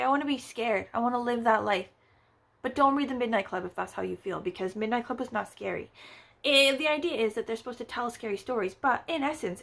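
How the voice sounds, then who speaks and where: A woman's voice: fairly high pitch, creaky, fairly bright tone. A woman chatting casually as a book vlogger, rambling with lots of fillers and wry, playfully exaggerated asides. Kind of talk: casual book review chat.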